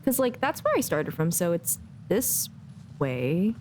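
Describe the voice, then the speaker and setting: A woman's voice: fairly low pitch, sing-song, a little slow, a little mellow. A woman giving casual, chatty live commentary as a game streamer, with playful asides. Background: faint game music.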